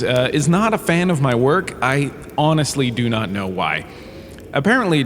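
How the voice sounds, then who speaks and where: A man's voice: medium pitch, lightly acted. A man playing a washed-up, self-important holodrama star who gives rambling, breezy, self-excusing comedic lectures in an audio drama. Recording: cavernous reverb with faint background noise.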